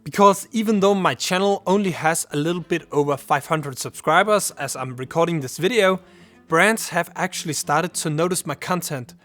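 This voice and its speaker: A man's voice: fairly high pitch, moderate pace, fairly bright tone, faint music behind. A young man from Denmark, a native Danish speaker, giving earnest scripted advice to camera about starting a channel. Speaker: YouTuber. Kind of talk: scripted vlog talk to camera.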